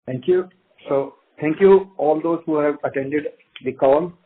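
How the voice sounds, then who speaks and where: A man's voice: fairly high pitch, a little slow, breathy edge. A male executive giving formal closing remarks on a company conference call. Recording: noisy narrow phone line, slight hiss.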